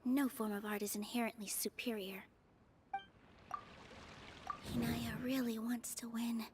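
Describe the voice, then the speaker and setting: A woman's voice: on the high side, level tone, measured pace, noisy background, lightly acted. An actress playing an earnest, thoughtful young woman in a video game, reasoning carefully to herself. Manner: careful, self-coaching, reflective.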